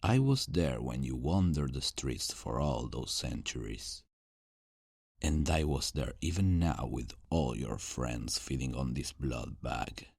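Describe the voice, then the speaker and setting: A sadistic ancient vampire, played by a man, drawls in an extremely deep, gravelly voice that swoops with theatrical mockery. He is condescending and smug, in a close ASMR roleplay style. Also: a little mellow, no room sound, full character voice.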